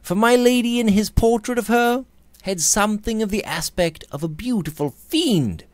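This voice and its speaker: A man in his thirties narrating a Victorian novel theatrically, with measured, emphatic phrasing, close to the microphone in a small room. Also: sits high, dry recording. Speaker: audiobook narrator. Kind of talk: dramatic reading of a Victorian novel. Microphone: studio condenser microphone on a boom arm, close up.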